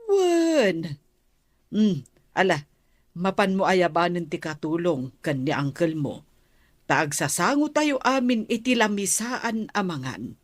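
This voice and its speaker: A woman's voice: on the low side, big pitch swings, slow, no echo, clean studio sound, heavily acted. A woman playing a contrite middle-aged Filipino aunt in a radio drama. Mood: kindly. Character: contrite middle-aged aunt, softened toward her niece.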